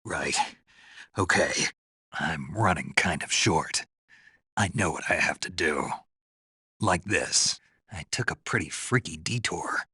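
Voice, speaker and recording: A man playing a gruff, raspy special forces soldier in a video game, stoic and dryly naive, with a terse, deadpan delivery. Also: sits low, slow pace, long pauses, no room sound, studio quality, full character voice. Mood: terse, focused.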